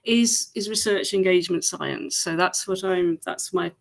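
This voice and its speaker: Englishwoman, gravelly fry, informal group introduction, halting and searching for words. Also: fairly low pitch. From England. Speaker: research engagement freelancer at a training session.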